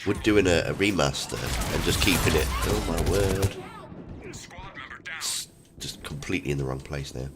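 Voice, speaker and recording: Man, low-pitched, casual streamer chat, halting delivery, unfinished thoughts, clear video game combat noise behind. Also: big pitch swings. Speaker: host of a gaming livestream. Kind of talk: casual chat over gameplay. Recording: loud game gunfire behind the voice. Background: video game gunfire and combat sounds.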